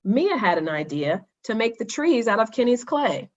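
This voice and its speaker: A woman's voice: on the high side, normal speed. Woman reading a children's story, slightly muffled. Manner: expressive read-aloud with acted dialogue. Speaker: storybook reader. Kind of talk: scripted children's story narration.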